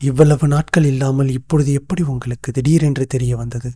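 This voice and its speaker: A man acting a loyal, cautious royal minister in an animated folktale, with formal, measured court speech. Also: medium pitch, dry recording, studio quality, natural voice. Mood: stern, questioning. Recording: music under the voice.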